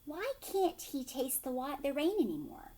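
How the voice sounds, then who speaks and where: A woman teacher reading a storybook aloud to children, expressive, emphatic on key words and often asking questions. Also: high voice, moderate pace, a little hiss or hum.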